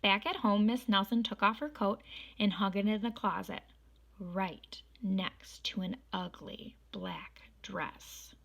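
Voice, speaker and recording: Slow, bright woman reading a storybook. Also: mid-range voice, creaky. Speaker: storybook reader. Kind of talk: expressive children's read-aloud narration.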